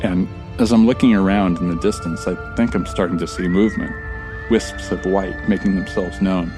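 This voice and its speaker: A man's voice: deep voice, fairly even intonation, moderate pace, over music, close to an everyday voice. A man with an American accent playing an earnest, stranded time traveler in an audio drama. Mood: uneasy, narrating. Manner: conversational, earnest. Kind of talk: first-person audio drama acting.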